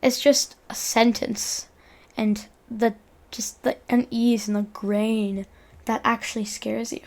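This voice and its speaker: A woman's voice: a little high, level tone, slow pace, creaky, darkish tone. A young woman narrating a video essay in an informal, earnest way, reading from a script and stumbling over words now and then.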